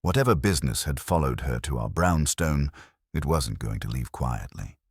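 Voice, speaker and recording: Very deep-voiced man narrating detective fiction. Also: animated, moderate pace, husky, no room sound, studio quality. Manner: wry, hardboiled storytelling. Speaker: host and story narrator. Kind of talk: scripted first-person detective story narration.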